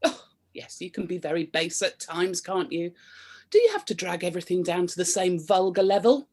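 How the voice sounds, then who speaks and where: An actress playing a prim, suspicious middle-aged wife in a comic radio play, scolding and sarcastic. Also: female voice, fairly low pitch, animated, moderate pace, darkish tone.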